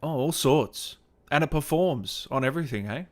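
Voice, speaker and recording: A man's voice: fairly high pitch, lively intonation, moderate pace. A man hosting a gaming livestream, chatting casually and appreciatively with his guest and viewers. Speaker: host of a gaming interview livestream.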